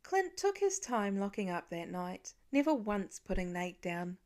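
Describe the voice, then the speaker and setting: Woman narrating fiction, medium pitch. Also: big pitch swings, unhurried, a little mellow. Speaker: audiobook narrator.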